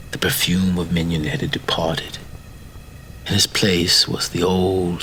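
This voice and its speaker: A man reading a classic story as narrator, measured and dramatic, often dropping into a hush. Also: sits low, a little hiss or hum.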